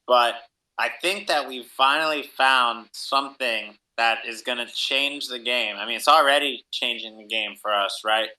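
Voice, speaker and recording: A man's voice: mid-range voice, moderate pace, pushed and bright, poor audio. A man hosting a casual interview, speaking conversationally as a fellow independent artist. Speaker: host, independent music artist.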